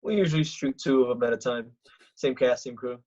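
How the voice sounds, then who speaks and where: A man's voice: medium pitch, fairly quick, a little mellow, natural voice. An actor playing a determined, bossy young man in a comedy script read over a video call. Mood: eager, confident. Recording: muffled, lo-fi video-call audio.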